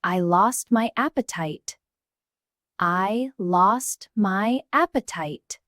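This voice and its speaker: A woman teaching English, repeating each short sentence several times in a slow drill, often dropping to a whisper. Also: medium pitch, fairly bright tone, no room sound, clean studio sound.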